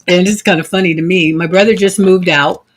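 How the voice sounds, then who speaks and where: A woman from California hosting a friendly video-call conversation, chatty and quick to laugh. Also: on the low side, steady tone, slight background noise. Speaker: host. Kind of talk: casual video-call chat.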